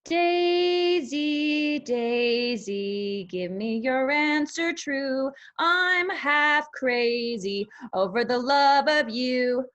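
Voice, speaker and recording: Guarded young female country singer with dry wit, read by an actress, higher register, unhurried delivery. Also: lively intonation, a bit bright, highs cut off, faint music behind, heavily acted. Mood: singing. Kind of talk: screenplay table read, with some soft singing.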